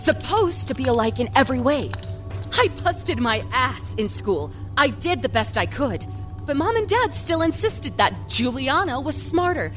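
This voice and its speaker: A woman acts out a resentful grown twin in an audio drama. Her voice is high and swings widely as she presses her grievances with emphatic, wounded insistence. Music plays clearly behind her. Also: very animated, moderate pace, fairly bright tone. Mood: bitter, defensive. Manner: emphatic, aggrieved. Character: bitter, resentful adult twin sister defending herself.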